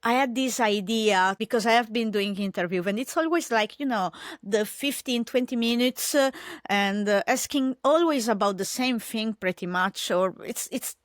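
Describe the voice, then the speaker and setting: A woman's voice: a little high, normal speed, dry recording, studio quality. A woman from Italy with an Italian accent, a podcast guest speaking English as a second language with many fillers and restarts. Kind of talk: casual interview chat.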